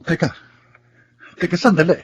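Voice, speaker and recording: A man's voice: fairly high pitch, normal speed, breathy edge. An actor playing a vulnerable, earnest young Filipino man in a radio drama. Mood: bewildered, earnest. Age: young adult. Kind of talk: Tagalog radio drama acting. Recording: dramatic music underneath.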